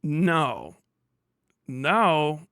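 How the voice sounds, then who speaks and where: An agitated man delivers a profane livestream rant in a voice a little higher than most men's. The sound is dry and close, and he speaks vehemently, moving between reacting and reading messages aloud. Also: big pitch swings, drawn-out words, a bit bright, clear, resonant tone, no room sound. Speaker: livestream host.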